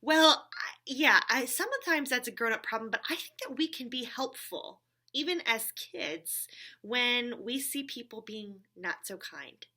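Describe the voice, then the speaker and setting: A woman's voice: a little high, animated, measured pace, bright, forceful tone. A woman hosting a church story time for children, speaking encouragingly and simply, with frequent check-in questions, as if chatting with a puppet friend. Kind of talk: talking to a puppet companion for young children.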